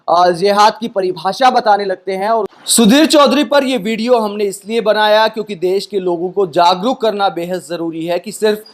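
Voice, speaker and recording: This is a man with a high-pitched voice presenting news commentary. He addresses viewers emphatically, building a critical case through rhetorical questions and repeated phrasing.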